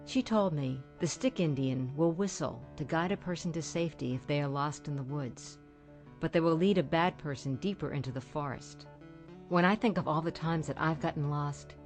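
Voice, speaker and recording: A woman's voice: deep voice, darkish tone. An older woman with an American accent narrates a scripted personal story as a radio host. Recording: guitar music behind voice. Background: guitar music.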